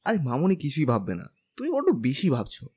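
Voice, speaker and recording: A man's voice: mid-range voice, wildly swooping pitch, a little fast, a little mellow, husky. A man playing a lovestruck, cheeky young husband in an audio drama, stubborn and playful, with a pleading streak. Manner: pleading, playful, whiny with elders. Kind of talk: Bengali audio drama acting.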